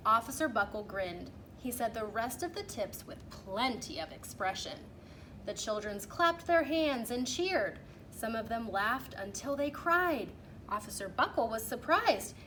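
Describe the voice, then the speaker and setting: Woman, fairly high voice, animated storybook read-aloud, emphatic phrasing, clear background noise. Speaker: teacher reading a picture book aloud.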